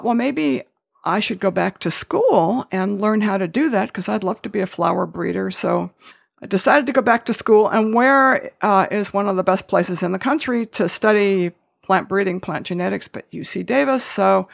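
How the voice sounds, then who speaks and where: An older woman with a gravelly, slightly creaky voice tells her life story. She talks in a chatty, self-correcting way, often restarting a thought before she finishes it. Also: medium pitch. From Wales. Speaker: guest. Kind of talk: conversational interview.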